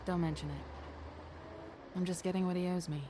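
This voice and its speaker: Sardonic young woman, played by an actress, noir game, slightly low voice, cool, guarded, wry. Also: steady tone, brisk, noisy background, close to an everyday voice. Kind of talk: cinematic noir game acting. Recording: faint music behind the dialogue.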